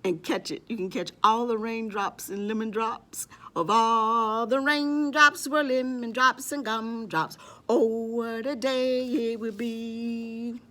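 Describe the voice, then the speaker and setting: A woman's voice: a little high, fairly even intonation, slow, a bit bright. A woman hosting a children's storytime, playful and encouraging, speaking simply to little kids and often repeating short phrases.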